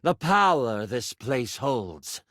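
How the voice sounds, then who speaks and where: Video game villainess, acted by a man: power-hungry enchantress, theatrical and drawn-out, menacing, very mobile pitch, dry close sound. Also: big pitch swings, fairly bright tone, dry recording, heavily acted. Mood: grandiose menace. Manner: monologuing, grandiose.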